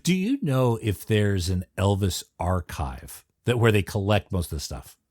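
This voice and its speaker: A man's voice: fairly low pitch, very animated, measured pace, a little mellow, no echo, studio quality. A man hosting a casual interview podcast, asking questions and chatting conversationally.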